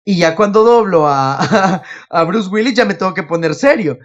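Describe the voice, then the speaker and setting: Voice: medium pitch, lively intonation, moderate pace. Podcast host with a dull-sounding mic. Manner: acts out quoted remarks. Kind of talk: casual podcast discussion with anecdotes.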